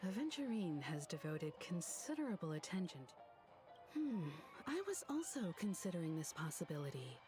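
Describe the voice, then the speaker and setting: A woman's voice: on the low side, very animated, normal speed. An actress playing a poised, analytical woman in a video game, thoughtful and articulate in her delivery. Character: poised, analytical grown woman weighing clues. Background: game music.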